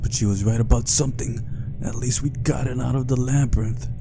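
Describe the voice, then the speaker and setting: A man's voice: medium pitch, fairly even intonation, fairly quick, over music. A man reading a visual novel's story aloud as scripted first-person narration for a playthrough.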